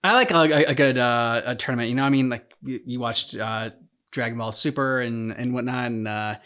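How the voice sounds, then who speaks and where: A man hosting a reaction show, commenting casually in a rambling, halting way as he searches for words. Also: medium pitch, a bit bright, clear, resonant tone. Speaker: host of a game reaction show. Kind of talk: casual chat, commentary on game cutscenes.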